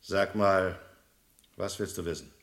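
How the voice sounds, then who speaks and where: A man's voice: low-pitched, little pitch movement, measured pace. A man acting in a vintage crime radio play as a suspicious museum restorer, brusque and sardonic. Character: gruff, suspicious male museum restorer warning a friend. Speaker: radio drama actor.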